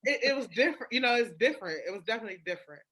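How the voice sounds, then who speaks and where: A woman in candid, casual podcast conversation, frank and expressive, acting out her own thoughts. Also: on the high side, racing, a crowd in the background, poor audio.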